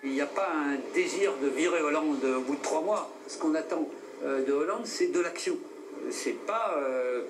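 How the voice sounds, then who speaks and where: Guy commenting in a news clip, um-ing a lot, slightly high voice, noisy background. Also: reverberant. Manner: hesitant, lots of fillers.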